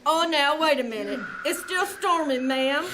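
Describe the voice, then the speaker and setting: A woman's voice: high-pitched, a little slow. A woman playing an earnest, polite, folksy male bus driver in a 1940s-style radio comedy. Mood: earnest, helpful. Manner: folksy, apologetic. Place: small theater stage. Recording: live stage performance with background noise.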